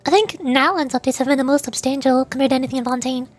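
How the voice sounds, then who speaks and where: A young woman with a high voice chats playfully while she plays through a game on stream. She giggles often and rambles about what happens on screen. Faint game music sits under her voice. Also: fast talker. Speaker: VTuber streamer. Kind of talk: casual game commentary.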